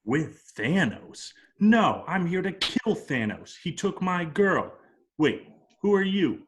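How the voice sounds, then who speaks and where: A man's voice: high-pitched, big pitch swings, slow pace, a little hiss or hum, exaggerated voice. A man playing a brash, threatening space outlaw in an amateur table read of a film script. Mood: indignant.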